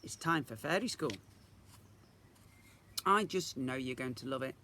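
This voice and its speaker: A man's voice: medium pitch, expressive, measured pace, pushed and bright. A man voicing a breezy, cheery fairy mother from a storybook with a coaxing sing-song manner.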